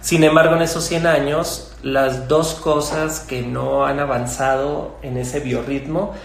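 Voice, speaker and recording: A middle-aged man with a Mexican Spanish accent, a gynecologist explaining things in a measured way with fillers and restarts. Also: medium pitch, steady tone, gravelly. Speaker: gynecologist guest. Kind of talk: conversational explanation. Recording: echoey room with faint ambience.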